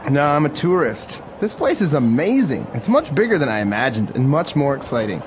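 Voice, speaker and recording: A man's voice: mid-range voice, big pitch swings, fairly quick, lightly acted. A man voicing a friendly, chatty tourist in a scripted lesson dialogue, with street and traffic sounds behind him. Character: friendly male tourist on a train.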